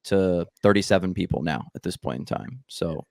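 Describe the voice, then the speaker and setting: A man with a low voice hosts a podcast interview from a home studio. He speaks right into a large studio microphone, and the sound is dry with no room echo. His delivery is relaxed and joking, full of casual likes. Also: moderate pace, creaky. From United States. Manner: joking, relaxed, heavy use of like. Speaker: podcast host. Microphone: headphones and large studio mic.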